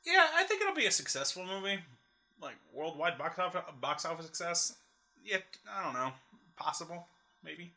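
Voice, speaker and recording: A man with a slightly high, bright voice talks casually as a podcast co-host. His delivery is very animated, with an irreverent, joking way of making his point. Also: normal speed. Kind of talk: casual chat, joking commentary.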